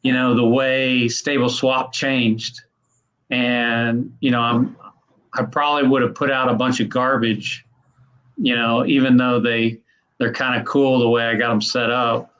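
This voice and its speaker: A man's voice: medium pitch, flat delivery, unhurried, a bit bright, highs cut off. A man in his mid-fifties co-hosting a casual chat, easygoing and emphatic. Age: 50s.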